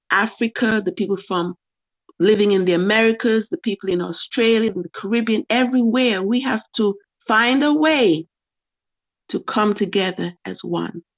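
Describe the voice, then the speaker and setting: A woman giving an impassioned speech as a guest, calling again and again for people to come together. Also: medium pitch. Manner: rousing, repetitive calls to unite. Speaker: guest speaker. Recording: lo-fi audio-room stream.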